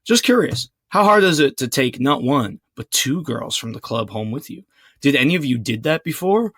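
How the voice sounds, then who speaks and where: Husky young man with dry sarcasm. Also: medium pitch, a bit bright, no echo. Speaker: podcast co-host. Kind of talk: casual banter. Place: small closet.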